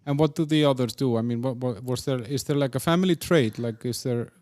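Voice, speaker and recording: A man interviewing a guest as host, informal and joking, with a halting, conversational way of asking questions. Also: mid-range voice, darkish tone, no echo, clean studio sound.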